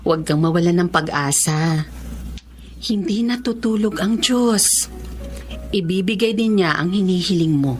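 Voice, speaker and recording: A woman's voice: lowish voice, a little slow, long pauses, a little mellow, soft background music, natural voice. A woman with a Filipino accent playing a caring, encouraging teacher of blind students in a radio drama, motherly and reassuring.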